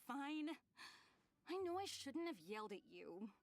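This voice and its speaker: A woman's voice: high-pitched, very animated, slow pace, exaggerated voice. An actress playing a petulant, easily annoyed young girlfriend in an anime-style game. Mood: grudging.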